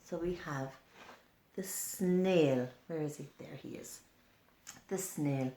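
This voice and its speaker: A woman's voice: low voice, takes it slowly, dark tone, echoey room, a little hiss or hum. A woman reading a picture book aloud to young children, often prompting them with simple questions. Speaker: storyteller for children.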